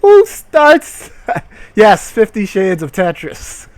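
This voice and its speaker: A man's voice: high voice, huge pitch swings, slow pace. A man reading a story aloud as a streamer and breaking off for irreverent, sweary commentary. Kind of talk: dramatic reading with mocking live commentary.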